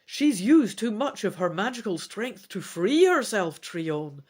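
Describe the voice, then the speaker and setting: A woman's voice: mid-range voice, sing-song, unhurried, a little mellow. Woman playing Rhinath, a brave elf swordswoman. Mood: urgent. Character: Rhinath, brave female elf sword fighter, wry ally. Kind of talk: dramatic gamebook reading.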